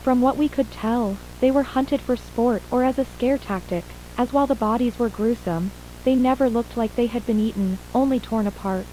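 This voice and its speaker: Casting: woman to play shaken mother and witness in horror audio drama, high, very soft-toned voice, hesitant recounting. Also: moderate pace, few pauses, lightly acted, low-quality recording. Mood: subdued, uneasy. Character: shaken mother and wife, surviving witness of an attack. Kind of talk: acted interview in an analog horror audio drama. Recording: faint hum and hiss.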